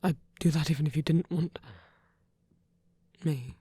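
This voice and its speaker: A performer voicing a gentle, shy, protective male vampire priest in an intimate ASMR roleplay, soft and breathy, speaking in hesitant, tender phrases. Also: mid-range voice, fairly even intonation, unhurried, no room sound. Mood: hesitant, hopeful.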